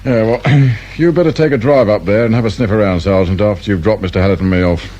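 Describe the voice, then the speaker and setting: An actor playing a dry, brusque middle-aged English detective inspector in a radio drama. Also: male voice, fairly low pitch, fast talker, darkish tone, lightly acted. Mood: dry, irritable. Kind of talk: naturalistic British radio drama acting. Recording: clear background noise and ambience.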